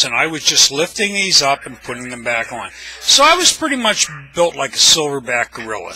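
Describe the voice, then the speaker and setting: A man's voice: fairly high pitch, pushed and bright, husky, dry recording, low-quality recording. An older man with an American accent, telling anecdotes casually in a blunt, wry, salty way. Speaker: storyteller, video blogger.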